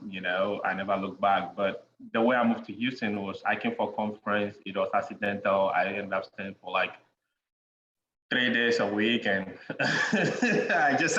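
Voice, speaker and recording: A young man with a Ghanaian accent, a podcast guest chatting in a relaxed way, with many "you know" fillers and frequent chuckles. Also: on the low side. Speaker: guest, real estate investor. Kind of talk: casual interview conversation. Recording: dull lo-fi sound in an echoey room with faint noise.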